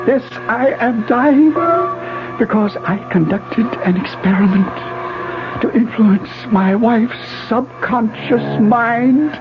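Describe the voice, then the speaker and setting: An actor playing a dying retired professor in a 1970s radio drama, confessing in a halting, grave, intense way. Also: male voice, very high voice. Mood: grave, fading. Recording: dull vintage radio, music behind.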